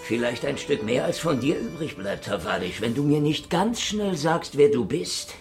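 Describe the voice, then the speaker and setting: A man's voice: fairly high pitch, very animated, normal speed, vocal fry, soft background music. An actor playing a cynical, down-at-heel private detective in a noir radio drama, sardonic and laconic as he talks and narrates. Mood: wry, defiant. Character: cynical down-at-heel Moscow private detective, hard-boiled, wry. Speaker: lead actor and first-person narrator.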